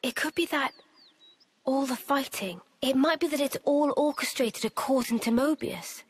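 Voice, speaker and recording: A woman playing a gentle, curious young soldier with a breathy voice in a video game cutscene. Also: high voice, moderate pace, natural voice. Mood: musing.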